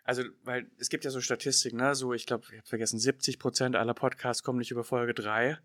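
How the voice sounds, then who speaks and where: A man's voice: medium pitch, little pitch movement, quick pace, a bit bright, dry recording, studio quality. A man interviewing on a podcast, phrasing his questions in a searching, hesitant way with many tags and restarts. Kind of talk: casual podcast conversation.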